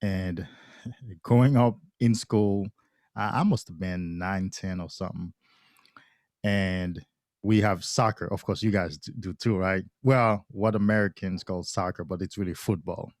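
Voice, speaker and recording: A man speaks low in his register, working through his thoughts slowly with many restarts. He sounds like a podcast guest in relaxed conversation. The recording is dry and close, with no room sound. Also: no echo. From Haiti. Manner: thoughtful, halting with frequent restarts. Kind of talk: casual conversation.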